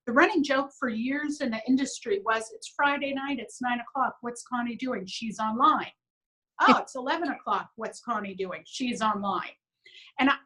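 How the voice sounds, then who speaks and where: Bright, high-voiced upbeat woman. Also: rough recording. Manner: upbeat, earnest, quotes others in lively voices. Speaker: business owner, interview guest. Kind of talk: casual conversational interview.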